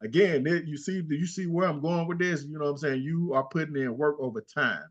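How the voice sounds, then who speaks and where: An older man co-hosting a casual sports talk show, animated and trash-talking as he rattles off stats. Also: fairly high pitch, fairly quick, dull audio. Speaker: co-host.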